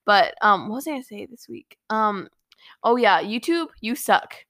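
A teenage girl chats on her podcast with a bright, forward voice. She vents freely and laughs a lot. The sound is close and dry. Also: medium pitch, big pitch swings, normal speed, no echo. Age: teens. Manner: chatty, venting, laughs often. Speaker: podcast co-host. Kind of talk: casual chat.

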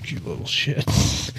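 A man co-hosting a casual podcast chat, joking around and laughing often. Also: darkish tone. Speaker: podcast co-host.

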